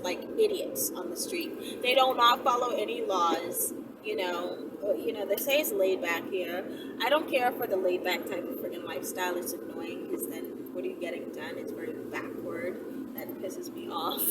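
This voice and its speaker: A woman chatting casually and hesitantly as a video host, circling back and repeating herself as she answers questions. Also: mid-range voice. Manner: hesitant, repeats and circles back, often ends points with "right?". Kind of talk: casual rambling chat to camera. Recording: distant mic, loud vehicle and road noise.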